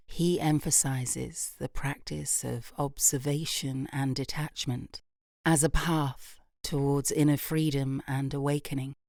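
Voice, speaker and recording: A British woman guiding a yoga nidra meditation in a soft, gentle, unhurried voice with long pauses, breathy at phrase ends. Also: very deep voice, dry recording, clean studio sound. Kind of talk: guided meditation, slow and soothing.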